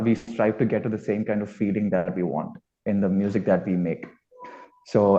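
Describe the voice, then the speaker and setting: A low, soft-edged male voice with an Indian accent, speaking conversationally in an interview. He thinks aloud and circles around his point, searching for words. The recording is dull and slightly lo-fi, taking the shine off his mellow tone. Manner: reflective, rambling, searching for words. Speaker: band musician being interviewed. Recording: dull, lo-fi audio.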